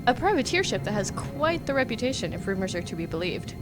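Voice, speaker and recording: A woman's voice: mid-range voice, sing-song, fast talker, music in the background, close to an everyday voice. A woman playing a confident, shrewd businesswoman with a polished, pointed manner in a comic sci-fi audio drama. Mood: needling, frank. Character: confident, shrewd, needling businesswoman, an old girlfriend.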